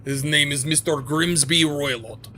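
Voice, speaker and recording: Someone doing a gruff Russian sailor guard. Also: medium pitch, voice pushed down. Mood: stern. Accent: mock Russian. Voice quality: broken English, louder and slow. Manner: stern, haughty, dismissive. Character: gruff burly male Russian ship guard. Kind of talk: reading a video game character aloud.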